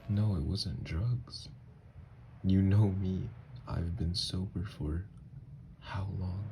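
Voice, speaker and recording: A man playing a gentle, haunted, newly-turned vampire lover in an ASMR audio drama, speaking in hushed, halting, hesitant phrases. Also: low-pitched, steady tone, a little hiss or hum. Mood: wistful, awed.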